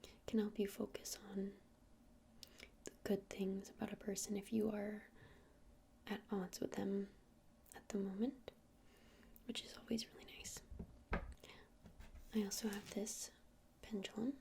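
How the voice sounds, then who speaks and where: A woman playing a gentle crystal-shop witch in an ASMR roleplay, whispering breathily and explaining things unhurriedly with hesitant pauses. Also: medium pitch, little pitch movement, a little hiss or hum. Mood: soothing, earnest. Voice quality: breathy whisper with creaky edges.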